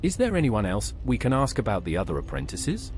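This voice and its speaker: A man's voice: fairly low pitch, wildly swooping pitch, a little fast, a little mellow, a little hiss or hum. A man voicing an inquisitive adventurer in video game quest dialogue, speaking in short, direct questions. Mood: curious.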